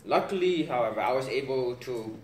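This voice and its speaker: A man's voice: medium pitch, animated, brisk, a little mellow, slight background noise. Young man presenting in an echoey room. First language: American Sign Language. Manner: casual, hesitant, many fillers. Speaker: student presenter. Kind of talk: informal class presentation. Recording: echoey room, mic far off.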